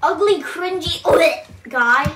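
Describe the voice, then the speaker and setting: A woman's voice: extremely high pitch, slow, fairly bright tone. A young woman in casual, playful, teasing chat while gaming. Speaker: gamer on a team play channel. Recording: distant noisy mic with typing. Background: keyboard typing and clicking.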